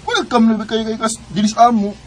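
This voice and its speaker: A man's voice: high-pitched, brisk. An actor playing a friendly, hospitable young man in a Cebuano radio drama. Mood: welcoming, casual. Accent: Cebuano Bisaya. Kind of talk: Bisaya radio drama acting.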